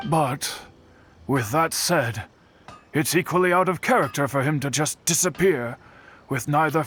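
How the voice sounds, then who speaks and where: Slightly high male voice, courteous middle-aged craftsman, formal, earnest, game dialogue, soft music. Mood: earnest, worried. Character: courteous, earnest middle-aged Japanese swordsmith uncle, solemn. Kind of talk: video game voice acting.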